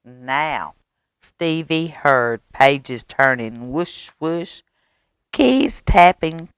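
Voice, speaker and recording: Very slow, animated woman storyteller. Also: low voice, clear, resonant tone, dry recording, clean studio sound. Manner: dramatic, expressive, with drawn-out words and hushed asides. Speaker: library storyteller reading to children. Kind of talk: animated picture-book read-aloud with sound effects.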